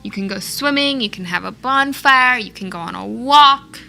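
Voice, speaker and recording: A woman's voice: fairly high pitch, gravelly, sharp tone. A young woman co-hosting a church livestream, upbeat and chatty, reading out viewer comments. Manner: upbeat, reads viewer comments aloud, quick agreeing replies. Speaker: co-host. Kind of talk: casual livestream chat.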